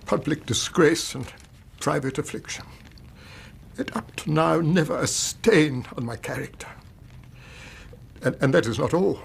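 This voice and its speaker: Gravelly, distraught English banker. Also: male voice, a little high, heavily acted. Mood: despairing. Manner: halting, formal, weighty. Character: distraught, pompous Victorian banker, about fifty. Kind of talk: radio drama acting.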